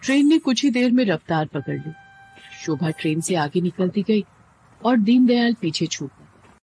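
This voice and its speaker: A woman's voice: medium pitch, expressive, normal speed, dark tone, slight background noise, low-quality recording. A woman narrator reading a scripted audio story.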